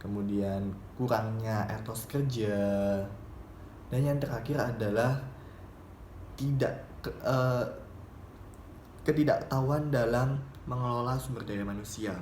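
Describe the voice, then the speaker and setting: A young man gives a semi-formal student presentation, explaining in a halting way and often repeating or correcting his phrases. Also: medium pitch, darkish tone. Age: young adult. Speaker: university student presenting a paper. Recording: roomy echo, faint background noise.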